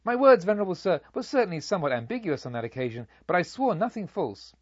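A male reader playing a fierce robber brought to humility in a storybook tale. He pleads and protests in formal, emphatic speech with wide swings of pitch. The sound is a little dull. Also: very animated, fast talker, slightly rough, no room sound, heavily acted. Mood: defensive protest. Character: fierce robber, humbled and astonished, earnestly questioning a monk. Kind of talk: dramatised audiobook dialogue.

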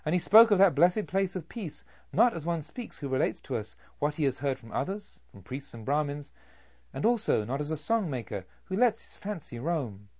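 A man narrating a literary audiobook in a solemn, measured and deliberate manner. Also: on the high side, darkish tone, dry recording, highs cut off.

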